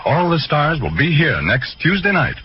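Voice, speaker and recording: A man's voice: fairly high pitch, very animated, normal speed, a little hiss or hum. An American man announcing formally and promotionally in scripted 1940s radio style. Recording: muffled 1940s radio broadcast, music behind.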